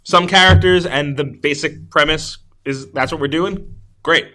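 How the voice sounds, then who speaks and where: A man's voice: on the high side, unhurried, bright, forceful tone, poor audio. A man hosting a podcast, chatting casually about film in an enthusiastic, rambling way with some swearing. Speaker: podcast host. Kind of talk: casual film review chat.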